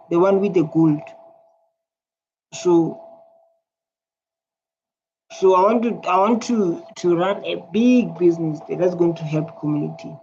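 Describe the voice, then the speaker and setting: A man's voice: high-pitched, gravelly. A South African man with a Tswana accent, a native Setswana speaker, commenting casually and bluntly on clips, repeating himself. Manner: animated, blunt, repetitive. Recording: dull lo-fi sound with faint noise.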